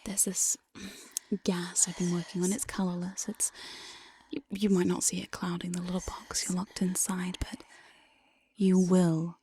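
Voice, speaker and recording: A woman playing a teasing, domineering demon jailer in whispered ASMR roleplay, close on a binaural mic, slow, coaxing and mock-sweet. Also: lowish voice, little pitch movement, mellow, slight background noise. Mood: coaxing, commanding. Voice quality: breathy whisper. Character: teasing, domineering demon mistress of a dungeon jail. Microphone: close binaural studio microphone.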